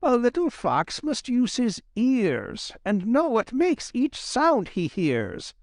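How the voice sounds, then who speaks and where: A man voicing a shrewd old female fox, grandmotherly and sharp-tongued, lecturing in a storybook reading. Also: very high-pitched, wildly swooping pitch, slow pace, dry recording, clean studio sound, voice pushed up, exaggerated voice. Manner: sharp-tongued, lecturing, sing-song when reciting.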